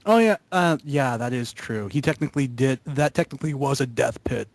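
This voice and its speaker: Young man, mid-pitched voice, dry recording, casual group chat, slightly hesitant explaining. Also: lively intonation, a little fast, dry recording. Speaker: member of an online gaming video group.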